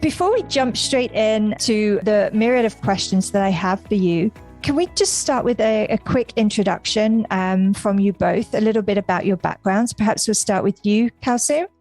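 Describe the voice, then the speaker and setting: Woman podcast host, mid pitch, scripted and friendly, faint music under her. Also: normal speed, hardly any pauses, a little mellow. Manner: scripted intro then friendly questions. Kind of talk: hosting an interview podcast.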